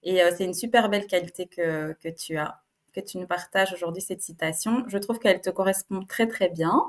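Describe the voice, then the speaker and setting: A woman's voice: on the low side, a little fast. A woman hosting a podcast interview, asking questions in an encouraging, admiring way. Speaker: podcast host.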